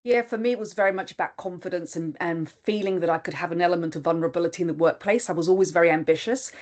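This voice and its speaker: A woman with a lowish voice whose first language is not English. She talks through her experience in a reflective, candid way, with easy fillers along the way. It sounds like a video call. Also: rapid-fire, darkish tone. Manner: reflective, open, with frequent fillers. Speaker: panelist. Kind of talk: webinar panel discussion.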